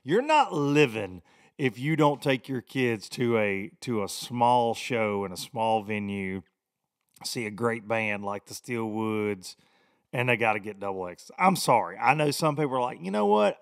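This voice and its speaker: Hoarse Southern man hosting a podcast. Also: medium pitch, dry recording, studio quality. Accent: Southern American. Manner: rambling, folksy. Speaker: podcast host. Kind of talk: casual podcast monologue. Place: small home studio. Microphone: studio mic with headphones.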